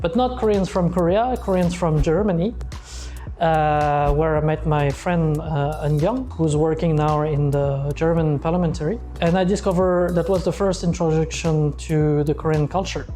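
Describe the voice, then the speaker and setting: A man's voice: fairly high pitch, steady tone, moderate pace, warm tone. A man in his forties from France with a French accent, telling his life story in an interview, hesitant with many fillers. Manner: hesitant, frequent uh fillers, simple phrasing. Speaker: entrepreneur. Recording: faint background music.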